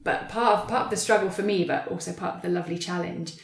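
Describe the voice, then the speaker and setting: A woman speaks in a reflective, searching way, as a writer in conversation. Her voice sits slightly low and the sound is roomy and echoey. She picks her words with care, often rephrasing as she goes. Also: steady tone, fast talker. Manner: reflective, hesitant, choosing words carefully. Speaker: writer adapting an audio drama for stage. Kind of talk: thoughtful conversational interview talk.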